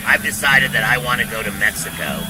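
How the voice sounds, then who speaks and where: A man's voice: mid-range voice, animated, a little fast, heavy background noise. A man hosting a casual streamed show, riffing through a loose comic monologue and repeating his lines. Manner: loose, joking, repeats himself. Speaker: show host.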